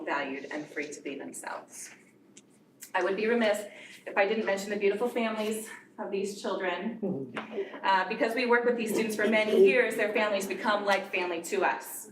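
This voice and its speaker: Woman teacher in an echoey room. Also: medium pitch. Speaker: teacher of English learners. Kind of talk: prepared speech at a board meeting. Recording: echoey room from far off, noisy.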